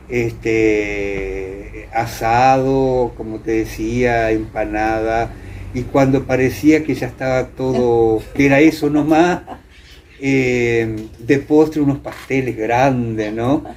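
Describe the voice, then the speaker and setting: An older Argentine man with a mellow, relaxed voice reminisces in an informal interview. He feels his way through sentences, repeating words as he goes. Some ambient noise sits behind him. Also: medium pitch. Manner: halting, with repeated words and emphatic repetition. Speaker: interview guest, theater and singing teacher. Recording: clear background noise, ambient room sound.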